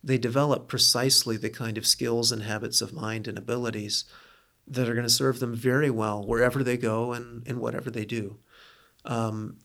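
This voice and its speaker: A middle-aged man, a college dean, giving earnest, measured interview answers. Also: mid-range voice, a bit bright.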